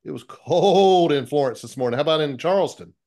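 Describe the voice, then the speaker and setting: A man's voice: fairly high pitch, expressive, fairly quick, mellow. A man co-hosting a casual podcast, asking easygoing questions. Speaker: podcast co-host. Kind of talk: casual interview chat.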